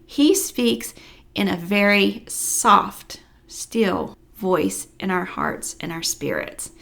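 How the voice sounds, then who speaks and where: A woman's voice: medium pitch, expressive, slow, creaky, a bit bright. A middle-aged woman hosting a personal vlog, speaking earnestly and encouragingly to viewers in a reflective way, close to a desk microphone at home. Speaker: vlog host. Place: living room at home. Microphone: large desk microphone, close.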